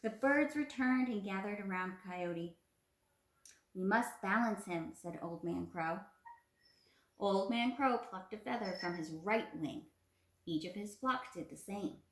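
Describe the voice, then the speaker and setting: A woman's voice: mid-range voice, animated, measured pace. A young woman teacher leading a children's read-aloud lesson, encouraging and prompting with simple questions, recorded on a phone. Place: small indoor room at home. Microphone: phone at arm's length. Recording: roomy, echoey phone recording.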